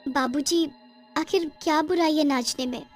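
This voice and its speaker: A woman voicing an eager but timid young village girl in a cartoon story, earnest and expressive. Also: high-pitched, moderate pace, faint music behind, voice pushed up, lightly acted. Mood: anxious, pleading. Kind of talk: Hindi cartoon story acting.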